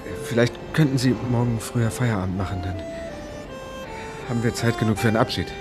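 A man's voice: mid-range voice, fast, music in the background, natural voice. An actor playing a polite, awkward young male fugitive with a hesitant, formal manner in a German radio drama. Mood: awkward, hurried. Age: young adult.

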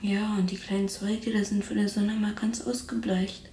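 A performer playing an anxious, innocent imprisoned schoolboy in a German radio drama, speaking in a hushed, simple, questioning way. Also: little pitch movement, normal speed, creaky, lightly acted. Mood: hushed, wondering. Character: anxious, innocent schoolboy locked in a dark cell. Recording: echoey room with low rumbling noise.

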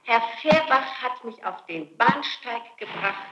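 A woman's voice: a little high, expressive, takes it slowly, pushed and bright, reverberant, dull audio. A woman being questioned as a suspect, answering with insistent, pleading and emphatic denials on an archival interrogation tape. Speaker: suspect under police interrogation.

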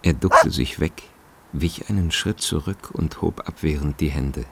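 A man's voice: very deep voice, measured pace, creaky, a bit bright, slight background noise. A man narrating a radio drama in a scripted, literary style.

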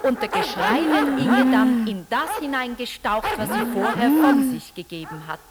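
An actress playing a mock-earnest, cruelly bitter female narrator in an experimental radio drama, reciting in a declamatory, deliberate, ironic way. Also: sits high, animated, a loud crowd. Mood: gleefully cruel.

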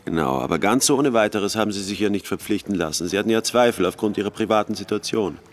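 A man playing a secretive, world-weary army sergeant in a radio drama, measured and matter-of-fact. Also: lowish voice, animated, lightly acted. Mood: curt, official. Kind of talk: radio drama, dry first-person narration.

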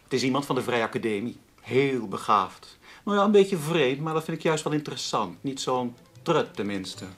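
Casting: breezy former journalist, nonchalant and self-satisfied, chatty, medium pitch with lively swings, radio play. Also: male voice, moderate pace. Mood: breezy, casual. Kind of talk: Dutch radio drama acting.

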